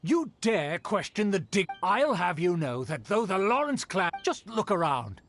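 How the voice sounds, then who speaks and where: Pompous, high-pitched haughty male aristocrat. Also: very animated, a little slow, a bit bright, soft background music, full character voice. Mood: offended. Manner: pompous, formal, scolding. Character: haughty, disdainful male aristocrat obsessed with manners. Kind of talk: anime game voice acting.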